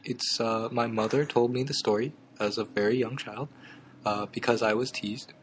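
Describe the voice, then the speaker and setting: Picture a young man with a mid-pitched, ordinary voice, hosting a mock talk show. He stumbles through every sentence with ums and uhs, keeping a straight face however absurd things get. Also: steady tone, a little hiss or hum. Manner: halting, full of ums and uhs. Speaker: comedy talk show host. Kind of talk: absurdist deadpan comedy chat.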